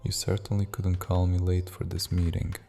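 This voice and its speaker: An actor playing an ancient, amused vampire prince who speaks with courtly charm in an ASMR audio drama. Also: male voice, low-pitched, monotone, moderate pace, gravelly, mellow, big-room sound, faint music behind.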